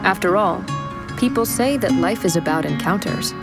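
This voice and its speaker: A woman's voice: lowish voice, lively intonation, gravelly. Reflective woman narrating over guitar. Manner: slow, reflective, questioning delivery. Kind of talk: scripted inspirational voice-over. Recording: voice-over over loud guitar music.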